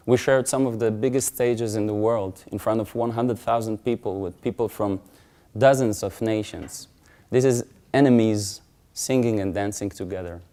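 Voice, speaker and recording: Stage speaker, male, earnest storyteller, warm mellow tone, emphatic swells. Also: on the low side, clean tone. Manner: earnest, builds to loud emphatic peaks. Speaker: conference speaker. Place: conference stage.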